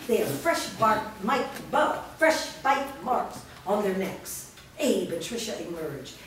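A woman's voice: medium pitch, very animated, slow. A woman reading scripted narration as the stage directions reader at a staged reading. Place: bare studio stage room, indoors. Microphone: far camera. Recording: very echoey room, distant mic, faint noise.

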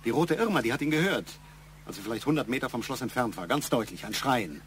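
Insistent, probing male investigator played by an actor for a radio crime drama, medium-pitched and emphatic. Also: expressive, fast, fairly bright tone, slight background noise, lightly acted. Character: insistent male investigator pressing a witness hard.

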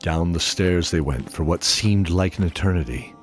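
A middle-aged man hosting and narrating an audio drama in a measured, deliberate way with long pauses. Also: low voice. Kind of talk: sincere spoken introduction, then scripted fantasy narration. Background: new-age music.

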